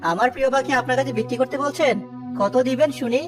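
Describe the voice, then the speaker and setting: A woman's voice: high voice, fast talker, over music, close to an everyday voice. A woman playing a greedy, irritable village man in a children's cartoon.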